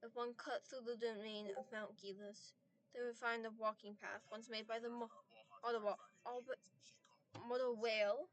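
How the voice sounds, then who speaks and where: A woman with a raspy voice reading a game's story aloud, often stumbling and restarting words, with small apologetic asides. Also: fairly high pitch, flat delivery, normal speed, low-quality recording. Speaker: game narrator reading story text aloud.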